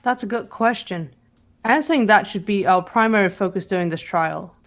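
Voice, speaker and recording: A woman voicing a composed, analytical teenage girl detective in a fan-voiced mystery game, speaking in a deliberate, precise way. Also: medium pitch, faint music behind, close to an everyday voice. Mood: calm, analytical. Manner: deliberate, precise, lecturing delivery. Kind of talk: fan voice-over of a mystery visual novel.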